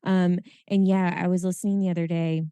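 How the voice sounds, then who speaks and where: Hoarse, raspy low-voiced woman. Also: little pitch movement, fairly quick, warm tone, no room sound, studio quality. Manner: chatty, lots of fillers and laughs. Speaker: podcast co-host. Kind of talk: casual chat.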